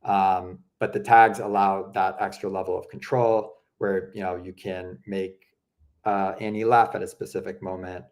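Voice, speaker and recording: Relaxed, low-pitched man explaining software. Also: normal speed. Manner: relaxed, chatty, many fillers like "you know" and "um". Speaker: product presenter. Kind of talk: casual explanatory walkthrough.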